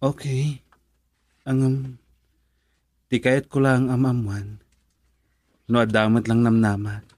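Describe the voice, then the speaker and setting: A man's voice: mid-range voice, little pitch movement, slow pace, long pauses, darkish tone, dry recording, close to an everyday voice. A male actor with a Filipino accent playing an earnest young man in love, hesitant and pleading, in a romantic radio drama. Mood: hesitant, tender.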